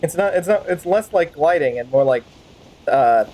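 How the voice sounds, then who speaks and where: A woman's voice: sits low, animated, normal speed, mellow, a little hiss or hum, low-quality recording. A woman streaming a game with casual, rambling, playful commentary and sudden exclamations. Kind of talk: casual game commentary with in-character fan-dub banter.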